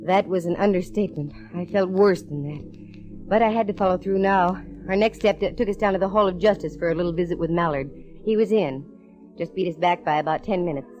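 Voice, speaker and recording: A woman's voice: on the low side, a little fast, a little mellow, dull audio, soft background music, natural voice. An American actress playing a plucky, wry female private detective in a 1940s radio drama, slangy and quipping. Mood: wry narrating. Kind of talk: 1940s radio detective acting with first-person narration. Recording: vintage 1940s radio recording.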